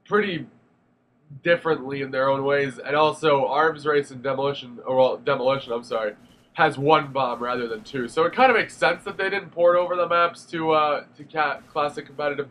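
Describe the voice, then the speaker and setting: A man's voice: fairly high pitch, fairly bright tone, slight background noise, rough recording. A young man with a stuffy nose from a cold, giving a casual, rambling spoken review of a game with lots of fillers and restarts. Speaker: gaming video reviewer.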